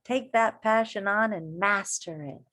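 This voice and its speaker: A woman reading a children's story aloud like an elementary teacher, expressive and deliberate, pausing to put questions and encouraging remarks directly to young listeners. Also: medium pitch.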